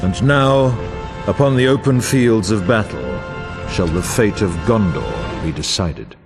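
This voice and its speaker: An actor playing a solemn, grave war chronicler, narrating a fantasy game in a grandiose, formal and portentous way. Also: male voice, fairly low pitch, lively intonation, unhurried, gravelly. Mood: grave, stirring. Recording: orchestral music bed under voice. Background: music.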